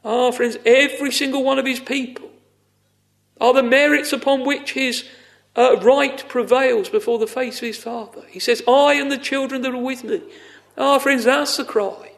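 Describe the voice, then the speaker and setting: High-voiced, creaky man preaching fervently. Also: fairly even intonation, normal speed, a bit bright. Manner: exclamatory, repeats phrases for emphasis, frequent sighing interjections. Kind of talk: fervent extempore evangelical sermon.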